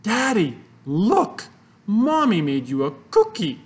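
A storybook reader voicing an eager young boy, higher and louder, calling out to a parent. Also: sing-song, slow pace, slightly rough, heavily acted. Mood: eager, excited. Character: eager young boy offering a cookie trick.

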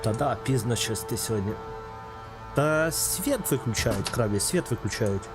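A man a mid-range voice talks casually to his chat while racing, greeting viewers by name and swearing now and then. Game music plays clearly behind him. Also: mid-range voice, expressive, normal speed. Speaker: streamer. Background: game music and engine sounds.

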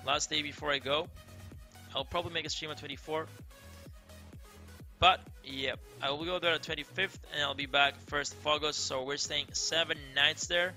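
A man's voice: a little high, steady tone, normal speed, sharp tone, soft background music. A young man from Greece with a Greek accent, chatting loosely with viewers on a game live stream, full of fillers and "crazy". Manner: loose and rambling, many fillers, keeps saying crazy. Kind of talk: casual live stream chat, reading viewer comments. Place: indoor room, seen on webcam.

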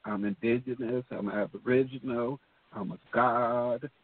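A man's voice: mid-range voice, fairly even intonation, measured pace. A man co-hosting a casual talk show, speaking bluntly and emphatically with a lot of profanity. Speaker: co-host. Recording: tinny phone line.

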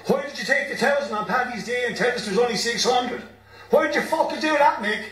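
A man's voice: very high-pitched, fairly quick, fairly bright tone, voice pushed up. A man playing a blunt, hot-tempered, wounded Irish bandmate in a radio play. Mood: furious. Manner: blunt, emotional, sweary. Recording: roomy, distant mic, background noise.

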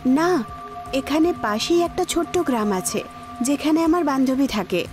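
Horror cartoon ghost witch, young female, woman performer: coaxing, ominous, commanding, over clear background music. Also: sits high, lively intonation, normal speed.

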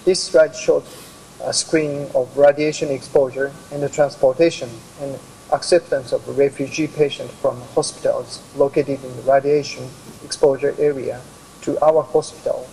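A man's voice: medium pitch, fairly even intonation, vocal fry, mellow, a little hiss or hum, poor audio. Japanese man presenting slides over video link. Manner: halting, with many "uh" pauses. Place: office with bookshelves. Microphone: video call.

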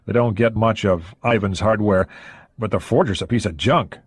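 A male narrator playing a cocky young American lieutenant who cracks jokes in a relaxed way. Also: lowish voice, normal speed, breathy edge, dry recording, clean studio sound. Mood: amused. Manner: wisecracking, relaxed.

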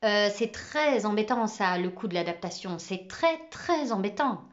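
A woman voicing an excitable schoolboy who leads his class, talking urgently and loudly in a storybook reading. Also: medium pitch, unhurried, natural voice. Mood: worried. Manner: urgent, pleading, loud. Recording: slightly muffled recording.

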